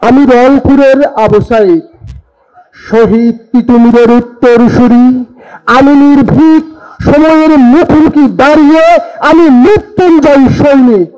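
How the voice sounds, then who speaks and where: Young Bangladeshi man, extremely high-pitched, defiant, fervent, theatrical protest verse recitation. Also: fairly even intonation, measured pace, dark tone, muffled recording. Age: young adult. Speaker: poetry reciter. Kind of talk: dramatic protest poetry recitation.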